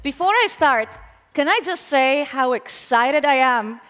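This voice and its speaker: A woman with a very high, lively voice speaks from a conference stage, her pitch bouncing up and down as she teases the crowd and quizzes them. Her words ring out with a long hall reverb behind them. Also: measured pace. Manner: animated, playful, asks the audience questions. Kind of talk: live tech conference talk. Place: conference hall with live audience.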